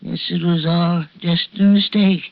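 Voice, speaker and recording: An actor playing a vengeful, ailing widower in a 1940s radio drama, with a halting, folksy, muttering manner that repeats itself. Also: male voice, sits high, level tone, creaky, slight background noise. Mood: evasive, confused. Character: vengeful, rambling widower with a failing heart. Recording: dull vintage radio recording, faint music.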